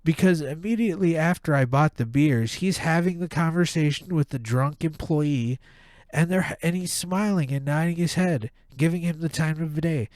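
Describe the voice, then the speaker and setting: A man's voice: fairly high pitch, normal speed, darkish tone, dry recording. A man with a stuffed-up nose, hosting a podcast and ranting with heavy profanity in a casual monologue. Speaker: podcast host.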